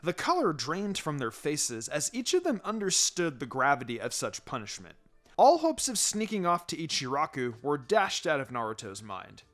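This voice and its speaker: Lively male story narrator over music. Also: on the high side, moderate pace. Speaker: fan fiction narrator. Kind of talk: expressive scripted story narration. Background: background music.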